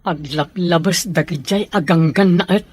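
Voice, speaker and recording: Man acting an anxious young son, high-pitched. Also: normal speed, husky. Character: anxious, dutiful young son devoted to his mother. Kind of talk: Ilocano audio drama acting.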